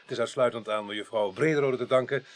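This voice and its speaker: A man's voice: medium pitch, quick pace, a little hiss or hum, close to an everyday voice. A man playing a middle-aged, plain-spoken police inspector in a radio drama, dry and understated. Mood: businesslike. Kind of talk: 1980s Dutch radio drama acting.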